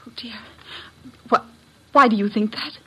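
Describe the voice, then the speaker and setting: Worried young lady, very husky breathy voice, earnest, well-bred, old-time radio acting. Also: on the high side, a little slow, sharp tone, full character voice. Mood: distressed. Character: anxious, well-bred young engaged woman, a daughter.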